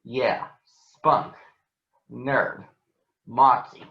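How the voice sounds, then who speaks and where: A man's voice: mid-range voice, steady tone, extremely slow, creaky. A man voicing a big swaggering bully bear in a storybook, with a mocking, taunting delivery. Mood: cocky, coaxing. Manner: taunting, sneering. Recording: roomy echo, dull lo-fi sound.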